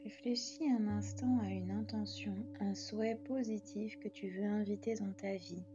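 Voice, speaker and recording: A woman leading a guided relaxation meditation as a yoga nidra guide, calm and soothing in her delivery. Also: mid-range voice, moderate pace, muffled recording.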